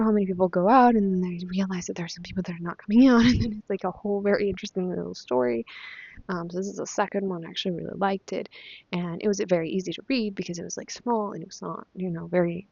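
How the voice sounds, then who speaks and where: A woman's voice: fairly low pitch, gravelly, darkish tone, husky, no room sound. Young woman, spanish is her first language, rambly book chat full of ums and likes, dry dull-sounding mic. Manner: chatty, lots of fillers and restarts. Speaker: vlogger. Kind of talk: casual book review chat.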